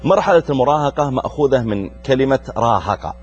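A man's voice: mid-range voice, animated, quick pace, muffled recording, soft background music. A middle-aged man from Arabia, a preacher hosting a youth show who talks to a young audience and asks them questions. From Saudi Arabia. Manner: engaging, addresses listeners directly, asks them questions. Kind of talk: lively talk to a young audience.

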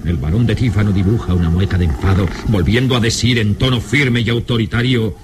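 A man narrating a gothic adventure radio serial in a solemn, suspenseful manner with deliberate phrasing. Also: lowish voice, background noise, close to an everyday voice. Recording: old radio serial with dramatic music. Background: dramatic music.

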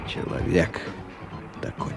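An actor playing a weary, disillusioned middle-aged man thinking aloud in a radio drama, with halting, ruminative delivery. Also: loud music behind the voice, close to an everyday voice. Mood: despairing. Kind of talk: radio drama interior monologue. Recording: 1990 radio recording with background noise.

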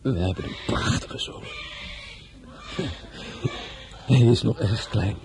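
Proud blunt general in a radio play, formal and commanding, really animated, bit of hiss. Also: male voice, medium pitch, moderate pace. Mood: tender, moved. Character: proud, blunt French general and minister, tender husband. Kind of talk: radio drama acting.

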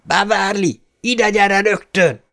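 A gruff, domineering father's voice, pushed up in pitch and loud, barking orders and suspicious questions, as a male narrator acts him out. He sounds like a predator more than a comforter. Audiobook drama. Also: lightly acted. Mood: commanding shout. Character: gruff, stern, menacing working-class father. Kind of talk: audiobook character voice.

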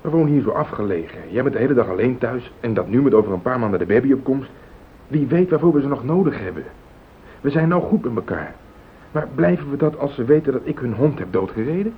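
An actor playing a rattled, evasive husband in a radio play, agitated and pleading. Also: male voice, lively intonation, quick pace, close to an everyday voice. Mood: agitated, insistent. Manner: agitated, pleading, self-justifying. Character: rattled, evasive husband hiding a car accident. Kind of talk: Dutch radio play acting. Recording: muffled phone-like old radio recording, rumbling hiss.

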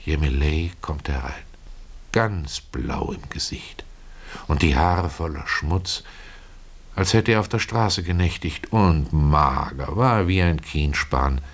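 A man with a low voice narrates a radio play as its first-person storyteller. His delivery is literary and expressive. He moves deliberately through the phrases and leaves pauses between them.

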